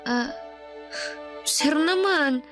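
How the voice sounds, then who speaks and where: An actress with a Filipino accent playing a shy, humble teenage housemaid, deferential and hesitant, in a radio drama. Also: female voice, sits high, very slow, music in the background. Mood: reluctant, pleading. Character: shy, humble nineteen-year-old housemaid, self-doubting.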